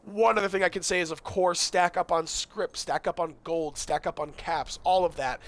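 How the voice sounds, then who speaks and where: A young man runs through game tips in a loose, talkative way, speaking to his viewers and filling gaps with ums and uhs. His voice sits a little high for a man and is going hoarse. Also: steady tone, normal speed, a bit bright. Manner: chatty, addresses viewers directly, frequent fillers. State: losing his voice. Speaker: gaming video host. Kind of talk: casual game-tips commentary.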